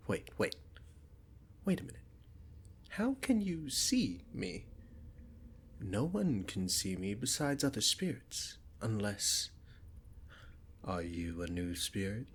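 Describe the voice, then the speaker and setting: A man acting a haughty, menacing spirit king of nightmares with a British accent, in a theatrical ASMR roleplay delivery that shifts between hushed and booming. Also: slight background noise, exaggerated voice. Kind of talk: ASMR roleplay villain monologue.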